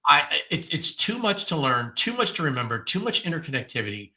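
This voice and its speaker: A man's voice: a little high, sing-song, a little fast, pushed and bright. A man co-hosting a casual chat, giving earnest, emphatic opinions. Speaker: podcast co-host.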